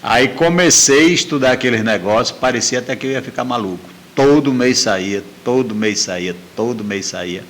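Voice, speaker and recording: A man's voice: medium pitch, moderate pace, few pauses. A middle-aged man telling a personal story as an interview guest, repeating phrases for emphasis.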